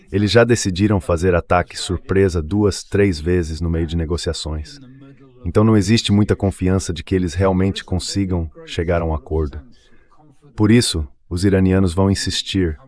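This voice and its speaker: A man's voice: low voice, normal speed, darkish tone. A man giving political analysis as a diplomat, in a translated voice-over. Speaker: diplomat commenting.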